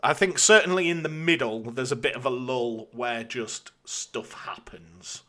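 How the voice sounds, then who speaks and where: British male podcast co-host, bright voice, chatty and jokey, casual delivery. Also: medium pitch, measured pace. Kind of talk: casual chat.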